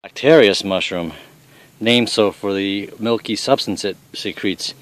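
A middle-aged man with a fairly low voice talks bluntly and casually, tossing off salty remarks. He is outdoors on a forest trail, recorded on a handheld camera. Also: measured pace, low-quality recording. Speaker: father showing mushrooms on a hike.

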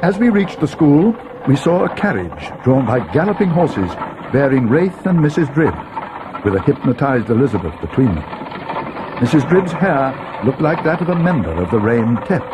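An actor playing an earnest, loyal young friend of a detective, narrating a dramatic audio storybook. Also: male voice, mid-range voice, sing-song, normal speed, noisy background, close to an everyday voice. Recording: 1980s read-along cassette.

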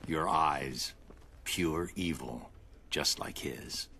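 A stern brooding vigilante in a game, really low hard forceful voice, terse orders. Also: male voice, very slow, slightly rough, full character voice. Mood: suspicious. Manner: terse, commanding. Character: stern, brooding, commanding male masked vigilante hero. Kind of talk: video game cutscene acting.

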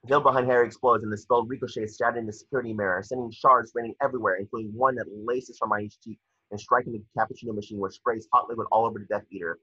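A man's voice: lowish voice, steady tone, rapid-fire, pushed and bright, breathy edge, no echo. A young man narrating scripted stage directions from a screenplay over a video call. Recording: dull, muffled video call audio.

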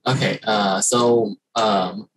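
A young man from Thailand, a Thai native speaker, giving a slide presentation as an international student on a video call, halting with many fillers and restarts. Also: on the low side, steady tone, a little hiss or hum. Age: young adult. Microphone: video call webcam.

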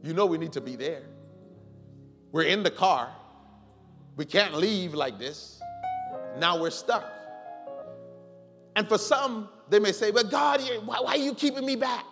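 A middle-aged man preaching a sermon as a pastor, telling personal stories with animated swings between loud pleading and quiet reflection. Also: high voice, measured pace, pauses often. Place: large church sanctuary, preaching from the stage. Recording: long hall reverb with faint music.

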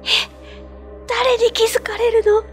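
A woman's voice: flat delivery, unhurried, a little mellow. A woman voicing a young boy in a radio ghost drama, curious and easily frightened. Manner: high childlike voice. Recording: music bed under the voice.

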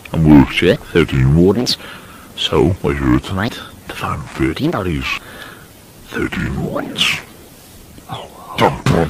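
A young man chatting informally and excitedly in a casual exploration vlog, in a blokey, exclamatory way. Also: sits low. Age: young adult. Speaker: vlogger exploring an abandoned site. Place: abandoned buildings and grounds at night.

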